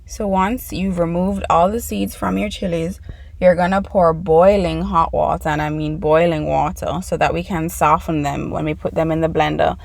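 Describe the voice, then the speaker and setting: A woman's voice: low-pitched, steady tone, normal speed, gravelly, darkish tone, no echo. A woman with a Jamaican accent hosting a casual cooking tutorial in her home kitchen, chatting and explaining each step to her viewers. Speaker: cooking channel host.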